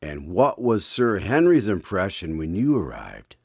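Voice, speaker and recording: A man's voice: low-pitched, huge pitch swings, a little slow, vocal fry, husky, dry recording, studio quality, full character voice. A man playing an eager, inquisitive host in a radio drama, speaking formally as announcer and listener. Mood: curious. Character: eager male host visiting a doctor to hear his stories.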